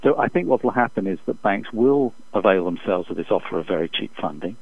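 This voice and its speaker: Low-voiced man on a muffled phone line. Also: expressive, vocal fry, breathy edge. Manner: measured, halting, formal. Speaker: economist being interviewed as an expert guest. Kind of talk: unscripted analytical interview answers. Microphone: telephone.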